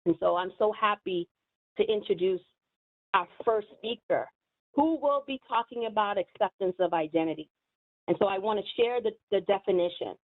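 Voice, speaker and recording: A woman hosting an event and introducing speakers with earnest, deliberate prepared remarks. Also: medium pitch. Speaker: event host. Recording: tinny phone-like sound.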